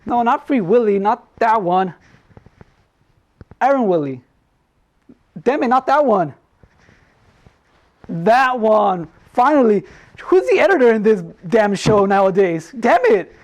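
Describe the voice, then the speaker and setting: A man's voice: high-pitched, big pitch swings, slow pace, long pauses. A man hosting a comedic talk show in a casual, joking way, often repeating himself.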